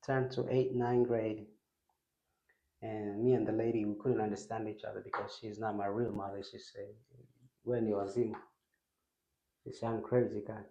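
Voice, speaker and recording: A man's voice: medium pitch, dark tone, echoey room, dull audio. A man from Ethiopia who grew up in Kenya, a guest telling his life story in a reflective way. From Ethiopia, raised in Kenya. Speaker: interview guest.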